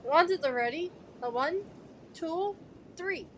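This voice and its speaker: A woman playing a calm, encouraging male teacher of magic in an amateur audio drama, gently giving instructions. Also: very high-pitched, slow pace, heavily acted. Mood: instructive. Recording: noisy home microphone recording.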